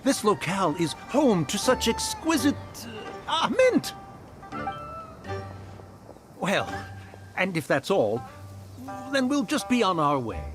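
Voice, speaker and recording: A man's voice: sits high, huge pitch swings, slow, long pauses, music in the background, heavily acted. A man voicing a fretful, pompous immortal adept with archaic, formal diction in a video game. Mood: flustered.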